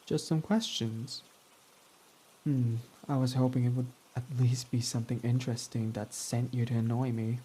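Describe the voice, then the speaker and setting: Guy doing a snarky villain dude, mellow low-key tone, all sarcasm and eye-rolling. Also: fairly even intonation, moderate pace, a little hiss or hum, close to an everyday voice, rough recording. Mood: irritated. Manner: sarcastic, mocking, dismissive. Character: snarky, prickly young male villain. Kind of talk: ASMR roleplay audio.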